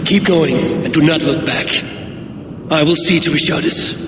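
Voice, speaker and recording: An actor playing a stern, protective Viking warrior in a dramatic video game, measured and commanding, over a noisy background. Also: male voice, on the high side, lightly acted. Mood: grave, protective.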